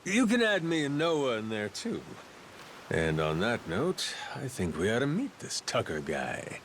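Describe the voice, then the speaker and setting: A man's voice: mid-range voice, huge pitch swings, unhurried, a bit bright, a little hiss or hum, exaggerated voice. A man voicing a wry, informal male boat captain and adventurer in a video game dub.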